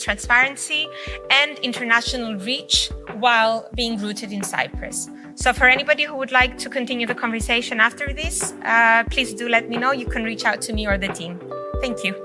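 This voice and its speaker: A woman's voice: a little high, moderate pace, over music. A woman whose first language is Greek, giving an unscripted conference keynote with frequent fillers and restarts. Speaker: keynote speaker. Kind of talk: conference presentation. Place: conference hall.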